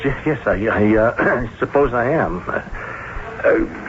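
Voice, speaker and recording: Mild banker for radio play: American, halting, courteous, lively pitch, dull noisy vintage broadcast. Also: male voice. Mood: flustered. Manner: halting, flustered, polite. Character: hesitant, polite, soft-hearted male banker. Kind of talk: radio drama acting.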